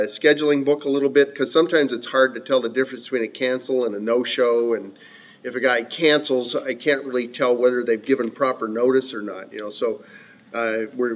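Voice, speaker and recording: A man's voice: medium pitch, natural voice. A man playing a mild-mannered clinic boss in a conversational training film, hesitant and often repeating his words. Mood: tentative. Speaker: office manager in staff training film. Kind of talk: acted workplace role-play, conversational.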